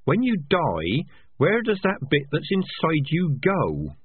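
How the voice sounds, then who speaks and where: Guy doing a curious little rat, squeaky and high, sounds like a phone line. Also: huge pitch swings, slow pace, breathy edge, no echo, exaggerated voice. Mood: wondering. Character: earnest curious young male rat. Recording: dry, tinny phone-like sound.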